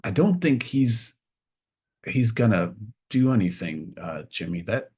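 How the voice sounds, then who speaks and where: A man's voice: on the low side, very animated, measured pace, a little mellow, slightly rough, voice pushed up, full character voice. A man playing an unimpressed, casually dismissive teenage customer in an improvised tabletop roleplay. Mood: bored, dismissive.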